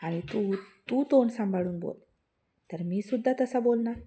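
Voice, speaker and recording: A woman's voice: fairly high pitch, normal speed, mellow, slight background noise, pitched above the performer's own voice, lightly acted. A woman doing a brash young college guy. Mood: irritated. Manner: indignant, mocking, raised voice. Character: hot-tempered young male college student.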